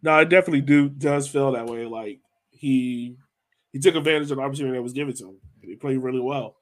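A man's voice: mid-range voice, fairly even intonation, fast talker. A man hosting a casual sports discussion, talking conversationally and putting questions to his co-hosts. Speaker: podcast host. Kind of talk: casual sports analysis chat.

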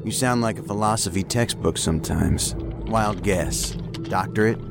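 A male counterterrorism agent in a sci-fi audio drama, with a low voice. He is blunt and impatient, skeptical of his partner and sardonic about it. Music runs clearly underneath. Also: unhurried. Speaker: federal agent.

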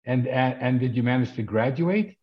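A male show host conducts a conversational interview at a middling pitch. He puts his questions haltingly and deliberately, pausing between phrases. The sound is a bit dull and lo-fi. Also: lively intonation.

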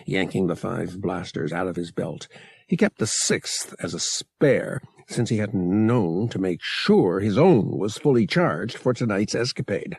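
A man's voice: low voice, expressive, measured pace, creaky, darkish tone, breathy edge, dry recording. A man reading adventure fiction aloud as an audiobook narrator, in a scripted dramatic style.

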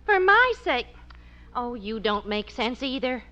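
An actress playing an excitable, sentimental wife and mother in old radio comedy, with an expressive, exclamatory delivery. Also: fairly high pitch, unhurried, heavily acted. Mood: flustered. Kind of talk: 1940s radio comedy acting. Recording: dull, noisy old radio recording.

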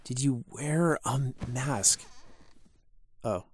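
A man's voice: mid-range voice, extremely slow, a little hiss or hum. A young man acting as an uptight, studious college roommate in an audio drama, curt, sardonic and exasperated. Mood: irritated, stern. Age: young adult.

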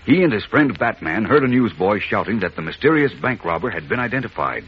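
Low-voiced vintage radio narrator, man. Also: sing-song, a little fast. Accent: American. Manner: dramatic, formal. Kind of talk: scripted 1940s radio narration. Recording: muffled, hissy old radio broadcast.

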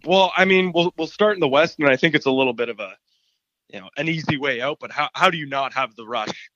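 A man with a raspy voice, answering interview questions in an easygoing way as a guest. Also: on the high side, quick pace, a bit bright, dry recording, muffled recording. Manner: easygoing, laughs often. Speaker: guest columnist.

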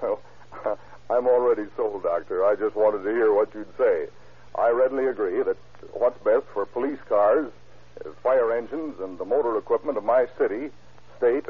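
A phone-like vintage radio recording of an American man. His voice is on the low side and husky. He speaks scripted ad dialogue in a folksy, slightly hesitant questioning manner. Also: normal speed. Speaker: sponsor's sketch partner. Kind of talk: scripted conversational radio advertising.

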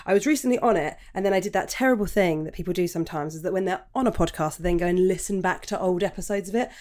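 A woman hosts a casual podcast chat, full of warm-hearted praise and easy enthusiasm. Her voice sits on the lower side for a woman, and she talks over a video call. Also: fast. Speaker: podcast host.

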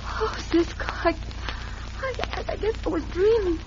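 A woman's voice: extremely high pitch, measured pace. A woman playing a terrified young frontier damsel, faltering and melodramatic, in an old radio western. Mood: shaken. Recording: noisy, muffled vintage radio recording.

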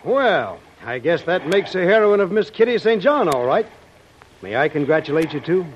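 A man's voice: fairly high pitch, sing-song, normal speed, a little hiss or hum. A man playing an eager, gallant patrol sergeant in old radio drama style. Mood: admiring. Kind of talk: 1940s radio acting. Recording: dull old radio recording.

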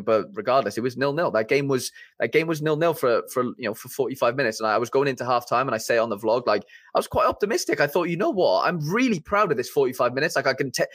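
A man's voice: medium pitch, fairly bright tone, dry recording, studio quality. A young Welsh man with a Welsh accent, a podcast guest chatting casually, rambling and eager with many fillers and restarts. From Wales.

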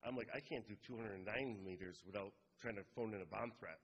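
A man's voice: lowish voice, brisk, breathy edge, highs cut off. A man co-hosting a podcast in casual chat, teasing and cracking crude jokes. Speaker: podcast co-host.

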